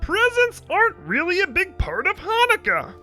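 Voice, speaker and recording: Tiny indignant latke for a storybook: extremely high, animated, emphatic, music underneath. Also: female voice, moderate pace, exaggerated voice. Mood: exasperated, earnest. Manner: emphatic, shrieking outbursts, lecturing. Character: indignant little screaming latke, easily exasperated. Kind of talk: dramatic storybook reading.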